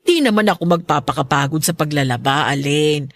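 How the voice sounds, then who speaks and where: A woman acting a caring, worried middle-aged mother in a Filipino radio drama, gentle and fretting. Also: deep voice, lively intonation, a little fast, vocal fry, full character voice. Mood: guilty, pleading.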